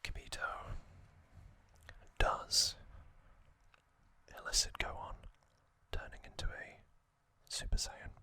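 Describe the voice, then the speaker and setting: A man hosting an ASMR channel, speaking entirely in a soft unvoiced whisper close to the microphone, gentle and unhurried with frequent soft hesitations. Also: no echo. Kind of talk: whispered ASMR trivia recap.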